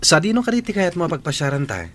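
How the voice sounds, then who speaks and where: An actor playing a cheerful, generous young suitor in a radio drama, with a Filipino accent. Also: male voice, on the high side, big pitch swings, fast talker, a bit bright. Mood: eager, playful.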